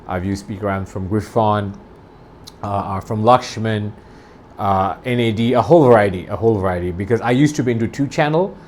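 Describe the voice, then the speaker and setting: A man's voice: lowish voice, brisk, a little mellow, a little hiss or hum. A man in his forties from Bangladesh, talking through a review unscripted, enthusiastic and rambling, often restarting his phrases. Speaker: audio gear reviewer.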